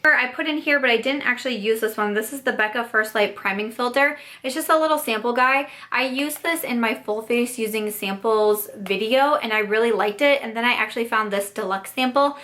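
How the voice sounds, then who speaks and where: A young woman vlogs about her makeup with a voice gone hoarse and raspy. She chats along brightly and casually, tossing in plenty of "like". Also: fairly high pitch, a little fast, few pauses. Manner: bubbly, upbeat, lots of "like". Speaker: beauty vlogger. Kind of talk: casual chatty vlog.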